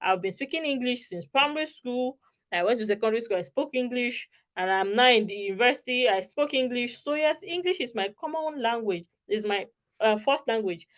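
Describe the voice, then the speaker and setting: A woman's voice: on the high side, lively intonation, a little fast. A young woman from Nigeria giving an online talk over slides on a video call, chatty and often checking in with her listeners. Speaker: scholarship advice presenter.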